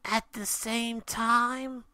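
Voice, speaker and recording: A little boy dragon from a cartoon fan story, put on by a man, talking in his sleep. The voice is high and squeaky, with dreamy, drawn-out mumbling. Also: flat delivery, bright, forceful tone, dry recording, heavily acted. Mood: dreamy, flustered.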